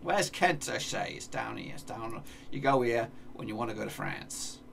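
English streamer, 30s, gravelly fry, mic set back with noise behind, self-muttering casual game commentary. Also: male voice, mid-range voice, big pitch swings, moderate pace, fairly bright tone, distant mic. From England. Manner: mutters place names to himself, swears playfully. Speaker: gaming streamer. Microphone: desk mic, seen in webcam corner.

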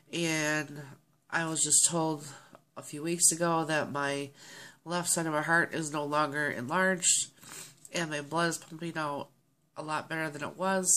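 Low-voiced woman with a nasal cold. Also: a bit bright. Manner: rambling, friendly, with many ums and throat clearing. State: stuffy nose from a cold. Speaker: vlogger. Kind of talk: casual chat to camera. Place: small home office, indoors. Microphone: webcam at desk.

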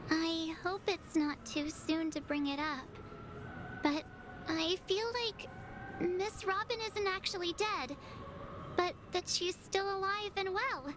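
A woman's voice: very high-pitched, background noise. A woman voicing a wistful, sensitive teenage girl in a video game, girlish and plaintive, speaking hesitantly and earnestly. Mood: troubled, wistful. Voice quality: girlish, youthful.

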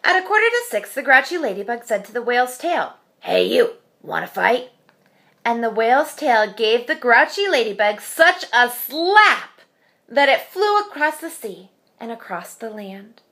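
A woman in her thirties reading a children's picture book aloud at home in front of a webcam, expressive and slowing for suspense. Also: fairly high pitch. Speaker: storyteller. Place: home room with shelf.

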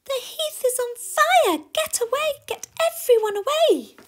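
A woman's voice: very high voice, animated, unhurried, voice pushed up. A woman voicing a tiny, alarmed female warbler in a children's storybook, crying out urgently in short bursts. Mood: urgent, panicked.

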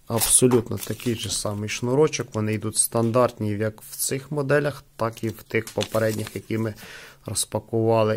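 Man, gravelly creak, casual product review, halting, thinking aloud. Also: on the low side, darkish tone, a little hiss or hum. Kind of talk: casual unboxing commentary.

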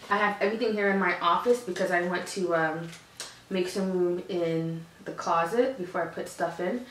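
A woman's voice: mid-range voice, slight background noise. A woman in her thirties chatting to the camera in a casual update from her home office, giggling often and correcting herself. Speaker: vlogger. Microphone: camera across the desk. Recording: echoey room, mic a bit far.

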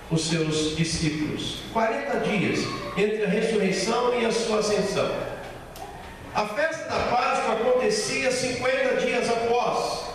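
Slow high-voiced preacher in an echoing hall. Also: male voice, a little hiss or hum. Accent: Brazilian Portuguese. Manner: slow, emphatic, repeats key phrases. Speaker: pastor. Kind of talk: sermon. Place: large bare church hall with a small stage. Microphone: handheld mic, filmed by far camera. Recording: echoey hall from far off.

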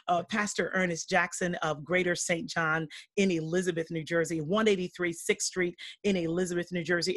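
A woman's voice: on the low side, no room sound. Middle-aged woman, church host on a video call, dry close sound, eager and affirming. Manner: warm, enthusiastic, frequent affirmations. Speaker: interviewer, church member. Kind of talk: casual church interview. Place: home room with teal wall.

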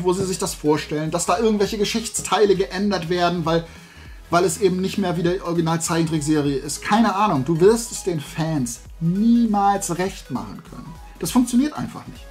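A man in his forties with a fairly high-pitched voice talks as the host of a casual show. He gives his opinions in a colloquial, animated rant. Music plays behind him as he speaks from a desk indoors. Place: small room at home, indoors, at a desk.

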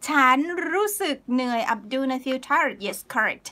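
A woman in her thirties teaching a live online language lesson from a small room, cheerful and laughing often as she reads out viewers' comments and repeats words slowly. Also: high-pitched, expressive, bright, forceful tone. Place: small room indoors, plain wall.

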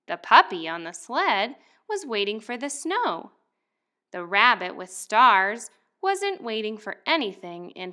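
A young adult woman, a first grade teacher, speaking expressively and clearly to young children as in a picture book read-aloud. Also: mid-range voice, sharp tone.

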